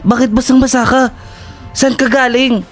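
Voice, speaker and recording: A voice actor playing a caring young man who gently questions a friend. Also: sits high, level tone, normal speed, natural voice. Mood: concerned. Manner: gently prying, concerned. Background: faint music.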